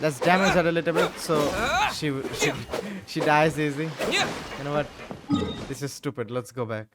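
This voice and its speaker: A man doing casual gameplay commentary, thinking aloud haltingly and repeating his phrases. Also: a little high, fairly even intonation, very noisy. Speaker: gaming streamer. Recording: loud game music behind the voice.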